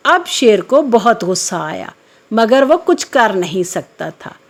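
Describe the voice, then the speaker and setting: Female storyteller, scripted kids' narration, unhurried measured delivery, mid pitch, background music.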